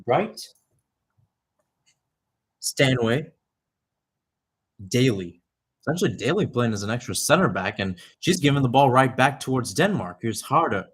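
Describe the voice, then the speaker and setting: A man's voice: mid-range voice, very animated, unhurried, pauses often, a bit bright, husky. A young man giving live radio-style soccer commentary, mixing clipped calls of the play with conversational asides. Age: young adult.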